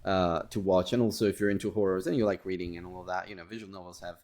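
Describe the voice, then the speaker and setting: Low-pitched man hosting a casual podcast. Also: steady tone, darkish tone. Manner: hesitant, halting, repeats words. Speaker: podcast host. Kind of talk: casual interview chat.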